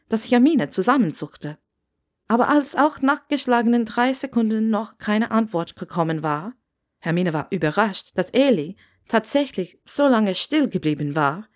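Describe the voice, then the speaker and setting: A woman reading scripted fiction aloud as an audiobook narrator. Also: mid-range voice.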